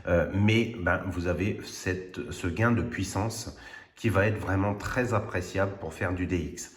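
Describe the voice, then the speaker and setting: A man's voice: low voice, a little slow. A man informally demonstrating a product, earnest and a bit hesitant, repeating words for emphasis. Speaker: radio hobbyist presenter. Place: indoors at home radio station. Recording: roomy, echoing room sound.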